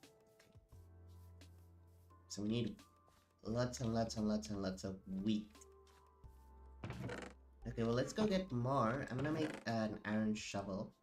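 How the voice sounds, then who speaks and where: A man's voice: lowish voice, a little slow, long pauses, faint music behind. A man live-streaming a video game from home, chatting in a rambling way and sounding sniffly from allergies. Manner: rambling and chatty, many "like"s and self-corrections. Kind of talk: casual live-stream chat while playing. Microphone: desk microphone.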